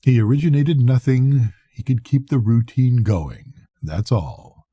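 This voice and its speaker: An older man reads a classic novel aloud as a storyteller, in a measured, reflective bedtime manner with a soft, husky voice. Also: medium pitch, big pitch swings, dry recording, studio quality. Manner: measured, reflective first-person narration. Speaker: storyteller reading to grandchildren. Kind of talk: expressive bedtime audiobook reading of a classic novel.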